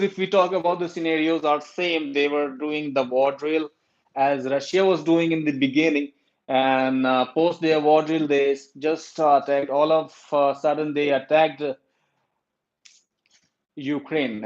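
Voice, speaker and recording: A man's voice: on the high side, steady tone, unhurried. A man from India with an Indian accent, talking casually about current affairs to his viewers, hesitant with many fillers and restarts. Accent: Indian English. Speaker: YouTube commentator. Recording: dull lo-fi recording.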